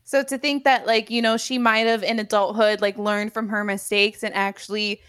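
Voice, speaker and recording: A woman's voice: medium pitch, little pitch movement, moderate pace, a bit bright, clear, resonant tone, no echo, studio quality. A young woman with a Cuban accent co-hosting a podcast, explaining things in casual chat. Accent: Cuban Spanish-influenced English. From Cuban heritage. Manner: explanatory, wraps up topics. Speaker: podcast co-host.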